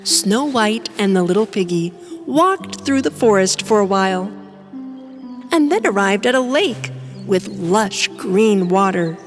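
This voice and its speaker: Lively, slow-paced woman storyteller. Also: mid-range voice. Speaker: narrator. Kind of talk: children's fairy tale narration. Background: music.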